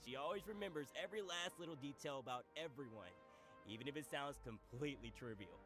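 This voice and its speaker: A man's voice: medium pitch, lively intonation, fast, a bit bright, faint music behind. An actor playing a jovial, generous male food merchant who chuckles and teases. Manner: chuckling, good-humored, teasing.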